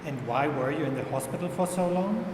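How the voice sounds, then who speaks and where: A man reading the interviewer's questions from a script in an even, questioning way. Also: fairly high pitch, brisk. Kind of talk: reading interviewer lines aloud. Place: gallery room, indoors. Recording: echoey gallery room with noise.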